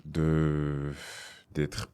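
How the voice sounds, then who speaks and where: A young man from Togo, a rapper, chatting casually in an interview, relaxed and slangy, often adding "you see". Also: a bass voice, drawn-out words, clean tone. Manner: relaxed, slangy, drops English phrases, many "tu vois". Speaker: rapper guest.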